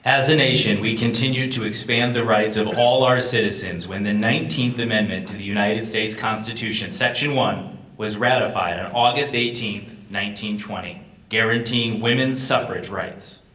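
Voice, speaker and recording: Bright-voiced low male narrator, echoey hall. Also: moderate pace. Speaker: narrator of a staged reading. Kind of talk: scripted narration, formal reading. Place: large room at a live event.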